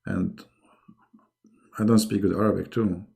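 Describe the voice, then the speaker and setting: A man's voice: lowish voice, steady tone, a little slow, gravelly, warm tone. A middle-aged man who speaks English as a second language hosts a live online debate in a mocking, emphatic, sarcastic way. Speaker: debate host.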